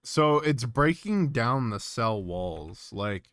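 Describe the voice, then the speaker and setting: Clear-voiced man musing as he streams. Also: mid-range voice, sing-song, slow pace, a bit bright, no room sound. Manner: musing, thinking aloud. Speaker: streamer reacting to an analog horror series. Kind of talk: casual reaction commentary, reading on-screen text aloud.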